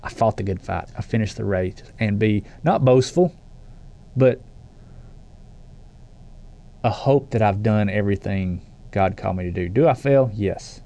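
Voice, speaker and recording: A man's voice: fairly low pitch, dark tone. A man co-hosting a faith podcast, talking in an earnest, emphatic way and often restarting phrases. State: stuffy with allergies. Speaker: podcast co-host.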